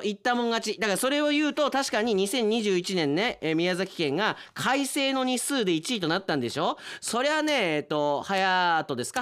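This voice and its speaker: A man's voice: high-pitched, fairly bright tone. A man hosting a radio show in a chatty, polite style with playful asides, as a trained announcer speaking conversationally.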